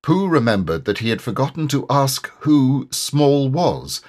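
Male narrator, children's audiobook, unhurried pace, lively intonation, faintly husky tone. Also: medium pitch. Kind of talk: expressive children's story narration.

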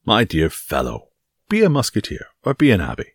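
A man's voice: lowish voice, wildly swooping pitch, a little fast, slightly rough, no room sound, clean studio sound, voice pushed up, exaggerated voice. A man voicing a boastful, self-satisfied musketeer in an audiobook adventure story, exclaiming theatrically. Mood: scolding. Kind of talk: dramatised audiobook reading of a classic adventure novel.

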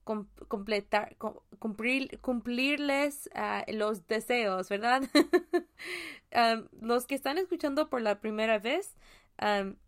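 A woman from Mexico hosting a casual solo faith podcast, talking in a halting way with many fillers and restarts. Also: fairly high pitch. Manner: chatty, laughs at own jokes. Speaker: podcast host.

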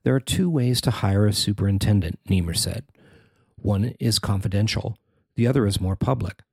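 Male reporter, scripted narration, husky and creaky, close dry sound. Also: lowish voice, normal speed, darkish tone, dry recording. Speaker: reporter narrating a news story.

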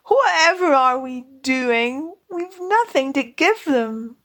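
A woman's voice: medium pitch, slow. A woman voicing an eager, kindly, easily flustered little male mole in a storybook reading. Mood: despairing. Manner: eager, excitable. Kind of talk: storybook character voice.

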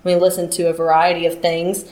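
A woman's voice: on the low side, flat delivery, brisk, a little mellow. A young adult woman, a band vocalist, chatting casually as a guest. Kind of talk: casual chat. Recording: roomy, echoey sound.

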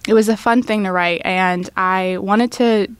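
A woman's voice: mid-range voice, steady tone, measured pace, smooth, dry recording. A woman in her twenties, a law student answering interview questions thoughtfully, with frequent ums.

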